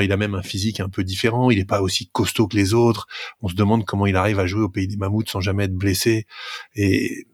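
A man's voice: fairly low pitch, no room sound, studio quality. A man co-hosting a sports podcast, talkative and emphatic as he gives his opinions in casual discussion. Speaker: rugby podcast co-host.

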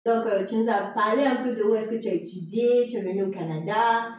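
A woman's voice: medium pitch, fairly quick, a little mellow. A woman co-hosting a podcast who asks questions in a friendly way and affirms the guest often. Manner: friendly, with frequent affirming murmurs. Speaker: podcast co-host. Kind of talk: conversational interview hosting. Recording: echoey distant room sound with faint music.

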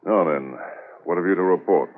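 An actor playing a measured, authoritative police inspector, formal and deliberate, in 1950s radio drama style. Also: male voice, low-pitched. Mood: official. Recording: tinny, narrow-band 1950s radio transcription.

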